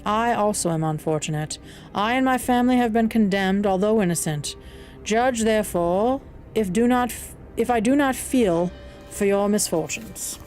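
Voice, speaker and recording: A woman's voice: medium pitch, moderate pace, darkish tone, soft background music, natural voice. A woman voicing a kindly, courteous blind old man in an audiobook, with a Southern US accent and an earnest, reassuring, formal manner. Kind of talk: audiobook character voice.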